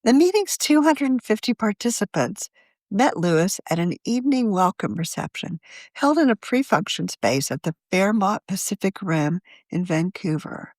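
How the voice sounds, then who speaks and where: A woman narrates a scripted magazine article in a measured, journalistic way. Her medium-pitched voice moves in lively rises and falls. It is recorded close and dry, with no room sound. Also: no echo. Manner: measured, journalistic read-aloud delivery. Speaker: magazine editor reading her own article.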